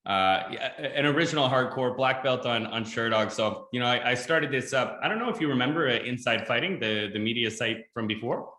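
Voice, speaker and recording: Bright-voiced man from Ontario in an echoey room. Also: mid-range voice, lively intonation, a little fast, few pauses. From Ontario, Canada. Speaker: podcast host. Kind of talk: casual interview chat. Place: games room in a rented house.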